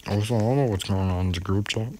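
A man's voice: lowish voice, fast talker, a little mellow. A man giving rambling, casual commentary while playing a video game, mumbling along and breaking into sudden exclamations. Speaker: gaming channel host. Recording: heavy background noise.

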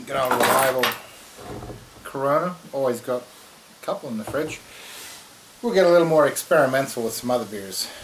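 A middle-aged Australian man giving a rambling, relaxed walking tour outdoors in the woods, full of fillers and restarts. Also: medium pitch, brisk, long pauses, a little hiss or hum, rough recording. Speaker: channel host. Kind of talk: casual walking tour vlog. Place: outdoors in rural woodland.

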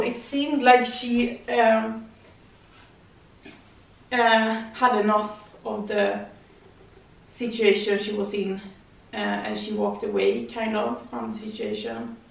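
A woman's voice: on the high side, creaky, distant mic. A young woman, chatting casually and rambling while she does yoga in her living room. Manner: rambling, chatty, frequent "okay?" tags. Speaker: vlogger. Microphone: far camera. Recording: echoey room, voice from afar.

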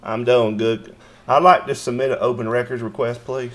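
A man's voice: medium pitch, level tone, normal speed, fairly bright tone. Polite, folksy man on a call. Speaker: citizen auditor making a records request by phone. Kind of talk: phone conversation. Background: phone call.

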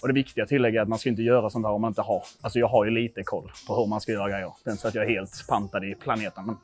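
A man's voice: on the low side, level tone. A man in his twenties from Sweden chatting casually to viewers in a gym vlog, informal, sweary and self-deprecating. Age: 20s. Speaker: vlogger testing his lifts at a gym. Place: indoor gym. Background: music.

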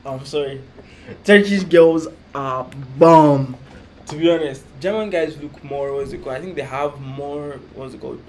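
A young man co-hosting a casual vlog chat, often pausing to search for words, seated on a couch indoors. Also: on the high side. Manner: hesitant, keeps saying "what's it called". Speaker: vlog co-host. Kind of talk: casual chat comparing countries.

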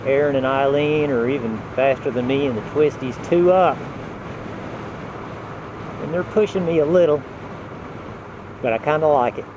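A man narrating his motorcycle ride from a helmet mic in a relaxed, unhurried way, with wind and engine noise. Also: a little high. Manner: relaxed, unhurried, talks while riding. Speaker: motovlogger. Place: on a motorcycle outdoors. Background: road and wind noise.

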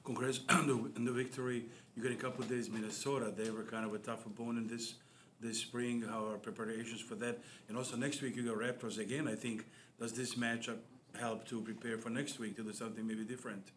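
A male reporter asks his questions in an even, flat tone, pausing and restarting as he searches for words. Faint room ambience hums underneath. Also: mid-range voice, slight background noise. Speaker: sports reporter. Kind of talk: press conference question. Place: press room audience.

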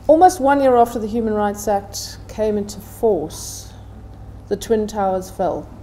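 Brief: middle-aged woman, academic panelist, mellow relaxed voice, deliberate delivery, slight room noise. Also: medium pitch. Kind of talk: prepared seminar talk. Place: indoor seminar room, seated at table.

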